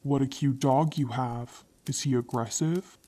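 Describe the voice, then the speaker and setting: A creepy stranger, a man in his thirties, voiced by a woman reading a horror story, speaks in a lowered, mellow voice. His talk is soft and falsely friendly, but it pushes and insists. He never raises his tone. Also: steady tone, unhurried.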